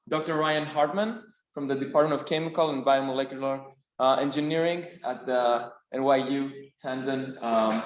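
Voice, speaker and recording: A man with a Greek accent hosts a seminar and introduces the guest speaker, halting with many fillers. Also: on the high side. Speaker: seminar host. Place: lecture room. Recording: echoey room, dull lo-fi sound.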